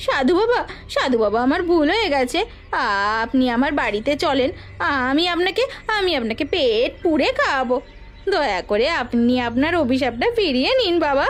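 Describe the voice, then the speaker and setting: A woman playing an excitable village housewife in a melodramatic cartoon, given to exclaiming and beseeching. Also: sits high, normal speed, faint music behind. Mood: pleading.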